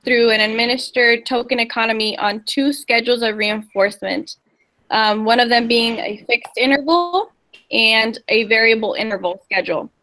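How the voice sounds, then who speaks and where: A woman's voice: a little high, bright, forceful tone, poor audio. A young woman from Indiana giving a class presentation, summarizing research articles hesitantly from her notes. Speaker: student presenter.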